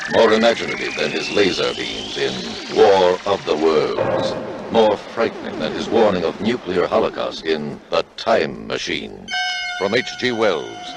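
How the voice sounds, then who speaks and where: Male movie trailer voice, gravelly and very low, dramatic delivery. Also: rough recording. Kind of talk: dramatic scripted trailer narration. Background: loud music.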